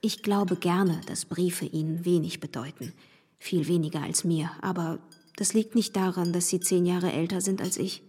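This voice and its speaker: A courteous young gentlewoman with a quiet sadness. The voice is medium in pitch and quite breathy, held back and earnest. It sounds like a radio play in a reverberant hall. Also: fairly even intonation, moderate pace. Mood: wistful, earnest. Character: reserved, polite young gentlewoman with quiet melancholy. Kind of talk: literary radio play acting.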